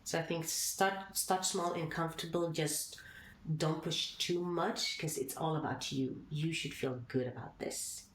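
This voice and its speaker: Low-voiced woman from Sudan, earnest advice. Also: fairly even intonation. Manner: earnest, advisory, speaks in short halting phrases. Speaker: guest, founder. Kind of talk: podcast interview answers. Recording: echoey room, faint noise.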